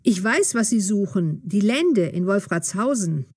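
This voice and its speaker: A woman's voice: medium pitch, lively intonation, a little slow, a little mellow, slightly rough, no room sound, studio quality. An actress playing a helpful, rambling older woman in an audio drama. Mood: chatty, helpful. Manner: rambling, long-winded. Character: chatty, helpful older woman, former café waitress.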